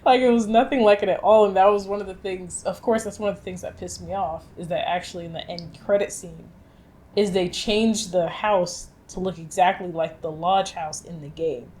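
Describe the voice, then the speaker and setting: A woman chatting casually and with strong opinions as co-host of a film review show, in animated, slangy speech with some swearing. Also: on the low side, normal speed.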